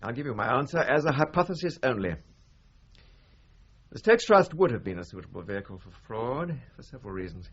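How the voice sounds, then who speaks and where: Pompous lawyer, English accent, gravelly, lively rise and fall, pedantic, guarded, radio drama, muffled sound. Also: male voice, mid-range voice, fairly quick. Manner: pedantic, haughty, formal enumeration. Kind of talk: 1980s radio drama acting.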